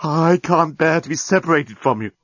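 A man's voice: on the high side, lively intonation, moderate pace, a bit bright, dry recording, highs cut off, voice pushed up. A man voicing an amorous, single-minded male alien journalist in a comic audiobook, gushing and pleading. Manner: gushing, wheedling.

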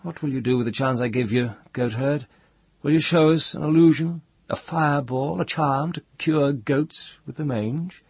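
A man's voice: a little high, moderate pace, a little mellow, breathy edge, no room sound, pitched above the performer's own voice. A man voicing a disdainful, taunting older lad, a young sorcerer's rival in a fantasy audiobook. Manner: scornful, taunting, mocking. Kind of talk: dramatized audiobook fantasy storytelling.

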